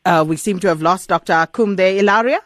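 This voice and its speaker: A woman's voice: medium pitch, fast talker, dry recording. Woman radio host, close dry sound. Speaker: radio call-in show host. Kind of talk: live phone-in moderation.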